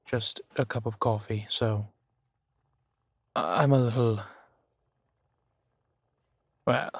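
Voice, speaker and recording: A man playing a courteous, gently protective vampire gentleman in an intimate roleplay audio drama, with formal, measured phrasing. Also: mid-range voice, fairly even intonation, creaky, breathy edge, no room sound, studio quality, full character voice. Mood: apologetic, courteous. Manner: formal, polite, measured phrasing with soft sighs.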